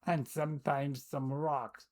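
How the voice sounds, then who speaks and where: An older woman, recalling the past in a casual, hesitant way, often pausing to search for words. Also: low-pitched, steady tone, a little mellow. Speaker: storyteller. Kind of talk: casual recollection.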